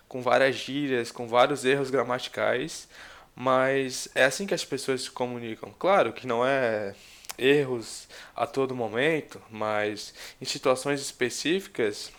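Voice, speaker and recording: A Brazilian man in his twenties speaks in a Brazilian Portuguese accent, chatting to his viewers like a friend. His voice sits at an ordinary middle pitch. He rambles easily, filling pauses and restarting his sentences as he thinks aloud. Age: 20s. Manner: relaxed, rambling, many fillers and restarts. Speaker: language vlogger. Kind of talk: casual talk to camera.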